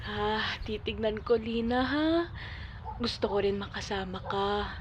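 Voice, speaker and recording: A woman's voice: medium pitch, steady tone, a little slow, dull audio, a little hiss or hum, lightly acted. A woman playing an affectionate, anxious Filipino mother in audio drama dialogue. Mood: apologetic, loving.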